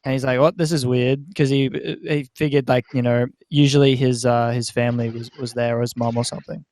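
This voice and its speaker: A man hosting a podcast, telling stories in an animated, casual way and often checking in with his listeners. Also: mid-range voice, brisk, a little hiss or hum. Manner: animated storyteller who often checks in with "right?". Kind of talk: casual storytelling chat.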